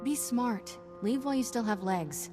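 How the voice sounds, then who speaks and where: An actress playing a sassy, cocky young Jedi sidekick in a video game, with wry, clipped banter. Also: female voice, on the high side, lively intonation, moderate pace, big-room sound, over music, natural voice. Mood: firm.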